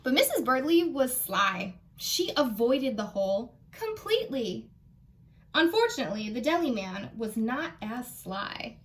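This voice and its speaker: An American woman, a children's librarian, reading a picture book aloud in an animated, expressive way and putting questions to her young listeners. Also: a little high, unhurried.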